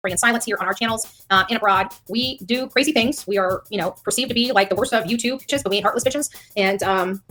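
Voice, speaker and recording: A woman's voice: medium pitch, fast talker, few pauses, sharp tone. A woman chatting casually to her viewers in a defiant, self-justifying manner. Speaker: YouTuber.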